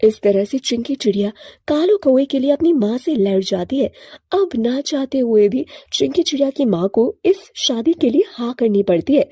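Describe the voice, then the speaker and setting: A woman storyteller narrates a scripted tale. Her voice sits fairly high with a soft, mellow tone, and the recording is close and very dry. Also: lively intonation, normal speed, husky, no room sound.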